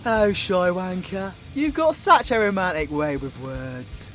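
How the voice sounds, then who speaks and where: A man's voice: sits high, lively intonation, unhurried, fairly bright tone, faint music behind. A British man voicing a cocky, crude warlock supervillain in an audio roleplay, full of mocking, sweary, theatrical banter. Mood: smug, mocking. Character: cocky, crude, taunting male warlock supervillain, gleefully playful.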